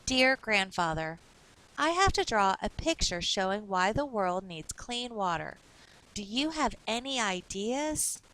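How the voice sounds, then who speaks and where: A woman's voice: mid-range voice, big pitch swings, measured pace, a bit bright, rough recording. A woman reading the part of an earnest, determined schoolgirl in a storybook, with eager emphasis. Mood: polite.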